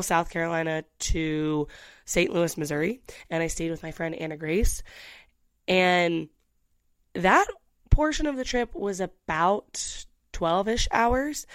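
A woman's voice: fairly low pitch, no echo. A woman in her twenties chatting candidly to the camera, with lots of restarts and asides. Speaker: vlogger. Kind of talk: casual storytelling to camera.

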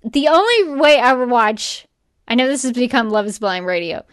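A woman's voice: on the high side, animated, moderate pace, dry recording, studio quality. A woman co-hosting a podcast in a casual, chatty and emphatic way, often acting out imagined remarks. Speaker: podcast co-host. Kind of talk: casual chat.

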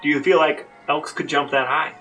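A man with a bright, forceful, slightly high voice co-hosts a casual podcast. He explains and asks questions in a conversational way, a little away from the mic with faint music behind. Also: level tone, moderate pace, creaky, distant mic. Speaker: podcast co-host, interviewer.

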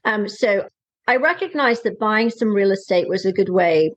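A woman in her forties from Wales with a Welsh accent, chatting as a podcast guest in a relaxed, self-deprecating way with frequent fillers. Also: medium pitch, normal speed, no echo. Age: 40s. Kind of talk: conversational interview. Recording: slightly dull lo-fi dry sound.

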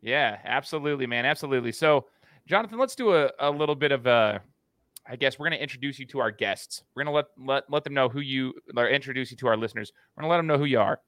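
An American man hosts an interview podcast in a bright, punchy voice. He sits at a middle pitch and sounds close and dry, with no room around him. His way of talking is informal and chatty, like one buddy to another. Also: fast talker, no room sound. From United States. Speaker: podcast host. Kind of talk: casual, chatty interview hosting.

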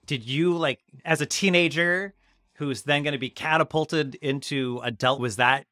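A man's voice: on the high side, normal speed, sharp tone, no room sound, clean studio sound. A man hosting a podcast in a casual, conversational interviewing style.

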